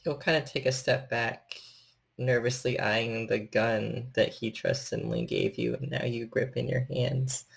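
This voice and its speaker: A man's voice: medium pitch, fairly even intonation, measured pace, vocal fry, husky. A man narrating a tabletop horror game as its storyteller and host.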